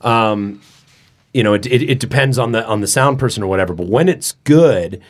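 A man's voice: medium pitch, normal speed. Chatty male podcast host. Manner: chatty, joking, many restarts. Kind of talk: casual chat.

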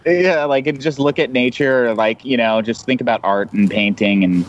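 A man's voice: medium pitch, normal speed, muffled recording. A man chatting casually as a podcast guest, agreeable and informal, often padding sentences with like. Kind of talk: casual chat.

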